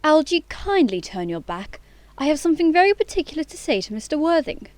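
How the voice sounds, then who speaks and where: Lady acting a posh young society girl, high swooping voice, grand and bossy, very romantic, close dry sound. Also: big pitch swings, a little fast, a little mellow, no echo. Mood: imperious. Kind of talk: theatrical comedy of manners audio play.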